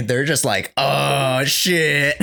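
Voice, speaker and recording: A man's voice: mid-range voice, very slow, creaky, pushed and bright. A man doing casual live-stream commentary on a game, rambling and reading the dialogue aloud as he goes. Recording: game music behind the voice. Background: game music and dialogue.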